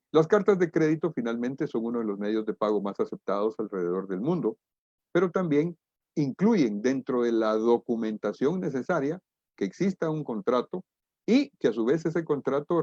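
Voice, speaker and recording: A middle-aged Guatemalan man lectures in a deliberate, emphatic way, as if leading an online seminar. His pitch sits in the middle for a man. The sound is close and dry, with slightly muffled, lo-fi webinar audio. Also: a little mellow, no echo. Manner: deliberate, emphatic, rhetorical questions. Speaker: international trade lecturer and expert.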